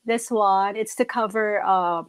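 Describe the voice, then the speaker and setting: A middle-aged woman with a Filipino accent and a slightly raspy voice, casually walking through her makeup kit on a live video call through earphones. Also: mid-range voice, measured pace, background noise. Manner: shows products one by one to camera. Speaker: guest makeup presenter. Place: home room with shelves. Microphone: earphone headset on video call.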